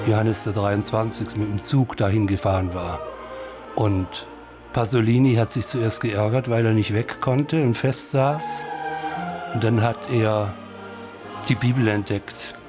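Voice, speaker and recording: A man giving unscripted, anecdotal commentary on a film in a halting, musing, wry manner. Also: on the low side.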